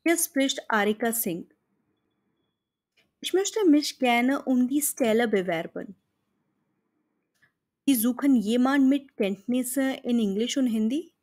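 Polite hopeful young job hunter, indian-accented woman reading her part, slow careful words, kinda high voice. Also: lively intonation, darkish tone. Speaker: German teacher. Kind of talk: scripted dialogue read for learners.

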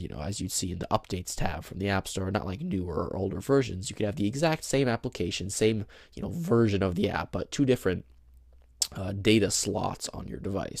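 Low, gravelly young man demoing phone tweaks. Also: darkish tone, dry recording, studio quality. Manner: chatty, explains step by step with fillers and small self-laughs. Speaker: tech tutorial host. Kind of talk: casual screen-demo walkthrough. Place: indoors at a table, filming a handheld phone. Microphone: camera mic behind the phone.

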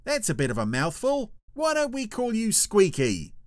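A man voicing an earnest young male freighter pilot in a raised, lively voice in a storybook reading. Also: sits high, moderate pace, pitched above the performer's own voice.

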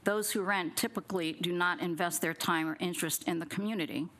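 A woman's voice: fairly low pitch, brisk, fairly bright tone, slight background noise. A middle-aged woman at a council podium mic, giving a pointed public comment full of questions, partly read from notes. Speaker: resident speaking at public comment. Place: city council chamber at a podium. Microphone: podium gooseneck mic.

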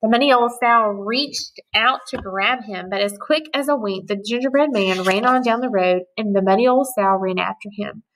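A woman in her thirties reading a children's story aloud with expressive, rhythmic storytelling. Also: mid-range voice, normal speed, hardly any pauses, slight background noise, low-quality recording. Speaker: storybook reader. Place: small indoor room with cupboards.

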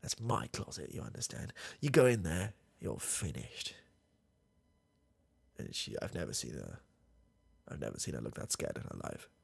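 A young man voicing a confused, rambling old grandfather with a gravelly, husky voice, in a comedy ASMR roleplay recorded close in a small dark room. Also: very deep voice, wildly swooping pitch, dry recording, voice pushed down, exaggerated voice. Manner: rambling, halting, trailing off, chuckling. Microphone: close studio mic, camera near face.

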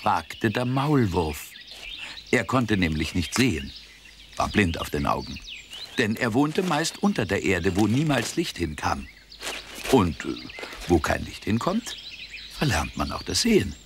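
A man narrating a children's storybook tale in simple, measured sentences. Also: on the low side, very animated, background noise. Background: loud music.

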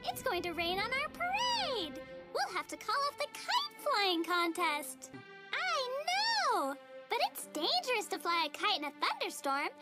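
A woman's voice: very high-pitched, wildly swooping pitch, measured pace, heavily acted. A woman voicing a relentlessly cheerful, naive and sweet little girl in a children's cartoon, with a gushing, sing-song, oblivious delivery. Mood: worried. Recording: cartoon soundtrack with jingly music behind.

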